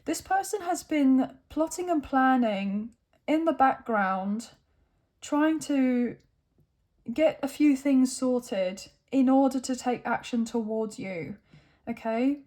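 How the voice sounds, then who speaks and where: A woman's voice, a little high and noticeably croaky and worn from overuse. She talks in an easygoing, chatty way, often tagging her sentences with a quick check-in. Recorded on a phone. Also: animated, darkish tone. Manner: chatty, often adds tag questions like okay and right. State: croaky, tired voice. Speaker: tarot reader. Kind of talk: casual tarot reading to camera.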